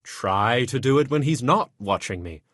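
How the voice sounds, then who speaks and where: Dry, close game dialogue from a lusty young nobleman with a mid-pitched voice. He is dramatic and full of self-pity. His talk is colloquial and crude, always complaining about his lot. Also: expressive, moderate pace, fairly bright tone, no echo. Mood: wary, conspiratorial. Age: young adult. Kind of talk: video game character dialogue.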